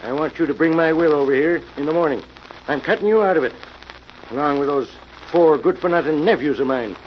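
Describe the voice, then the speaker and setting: An actor playing an irascible, suspicious old sea captain in a radio mystery, domineering and scolding. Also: male voice, fairly high pitch, a little fast, natural voice. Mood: angry, commanding. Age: older. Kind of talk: 1940s radio mystery acting. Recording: dull, noisy old radio recording.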